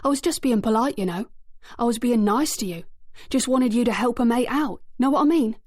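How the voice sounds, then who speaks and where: A woman voicing a brash, menacing young male street thug, loud and swaggering. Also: medium pitch, moderate pace, dry recording, studio quality, natural voice. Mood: aggressive swagger.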